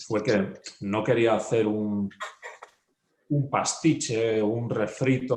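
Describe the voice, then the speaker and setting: A man's voice: fairly low pitch, a little mellow, echoey room, slight background noise. A man from Spain, an author being interviewed, answering thoughtfully with many fillers, restarts and questioning tags. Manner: hesitant, many fillers and restarts, ends phrases with a questioning tag. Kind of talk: reflective conversational answer.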